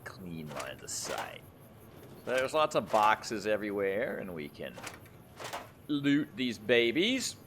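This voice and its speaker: A man's voice: lowish voice, huge pitch swings, slow pace, background noise. A man giving casual, rambling gameplay commentary, thinking aloud in a conversational way as he plays. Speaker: gamer, let's play host.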